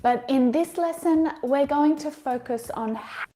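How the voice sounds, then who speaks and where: A woman with an Australian accent presenting a scripted grammar lesson in clear, measured sentences. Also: high voice, dark tone, a little hiss or hum.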